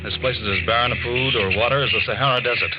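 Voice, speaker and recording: A man's voice: medium pitch, fast talker, over loud music, close to an everyday voice. A man playing a stern, practical chemist stranded among castaways in a 1940s radio drama. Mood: brisk, practical. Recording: muffled 1940s radio recording.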